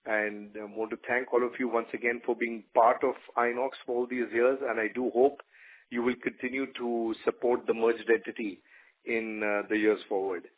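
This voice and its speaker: Even-toned executive on a phone line. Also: male voice, mid-range voice. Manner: halting, appreciative. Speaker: company executive. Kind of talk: semi-formal thank-you address on a conference call. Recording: narrow tinny phone line.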